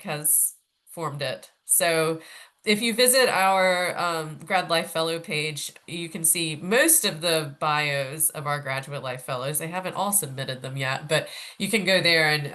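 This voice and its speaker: A lower-voiced woman with a head cold, chatting loosely with lots of ums. She hosts a casual panel over a video call. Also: sharp tone. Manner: chatty, rambling, many fillers. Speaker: orientation host, graduate life staff. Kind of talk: casual virtual panel chat.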